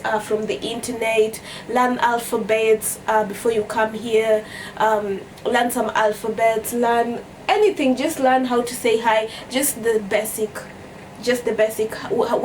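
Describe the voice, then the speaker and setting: A woman's voice: fairly high pitch, a little mellow. A young woman from Kenya with a Kenyan accent, chatting casually as a vlogger and repeating phrases for emphasis. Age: young adult. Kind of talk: casual storytime chat to camera. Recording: distant mic with background noise.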